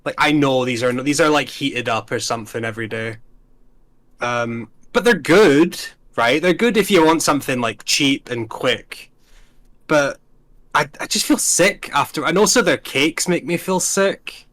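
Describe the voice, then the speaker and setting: A young man with a Scottish accent talks in an easy mid-range voice, neither high nor low. He rambles with plenty of profanity, loops back on himself and keeps the energy up. This is a loose, casual panel chat. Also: a little slow. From Scotland. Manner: rambling, profane and animated, with frequent restarts. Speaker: tier list panelist.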